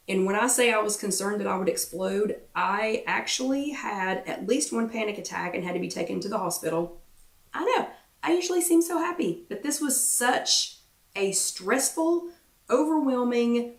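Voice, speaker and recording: Chatty woman with a croaky voice. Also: medium pitch, recorded from a distance. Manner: chatty, repeats herself for emphasis, many ums. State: voice getting croaky. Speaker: wellness workshop host. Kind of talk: casual motivational chat to viewers. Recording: roomy echo, away from the mic.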